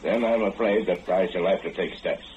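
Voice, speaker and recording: In a muffled old radio drama, a man plays a big, threatening gangland heavy. His low voice is stiff and formal, delivering each warning deliberately over a faint hiss. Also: close to an everyday voice. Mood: menacing. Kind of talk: old-time radio horror acting.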